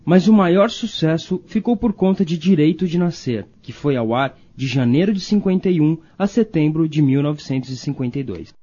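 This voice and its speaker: A man's voice: on the high side, lively intonation, normal speed, hardly any pauses. A Brazilian man reading scripted narration as a radio documentary narrator. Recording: dull, band-limited audio.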